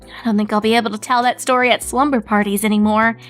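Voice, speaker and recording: A woman's voice: fairly high pitch, steady tone, fast, faint music behind, close to an everyday voice. Woman doing a prim, bookish unicorn mare. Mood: earnest. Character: bookish unicorn mare, earnest and a bit stiff.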